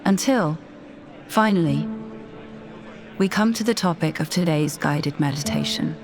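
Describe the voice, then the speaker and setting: A woman narrating a scripted guided meditation in a measured, deliberate, soothing manner. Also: sits low, background noise. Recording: voice over background music. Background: music.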